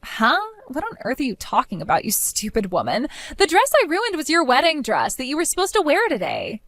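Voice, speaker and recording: A woman voicing a spiteful, bratty young woman in an animated story, with a mocking, whiny, shrill manner. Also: a little fast, no room sound, exaggerated voice.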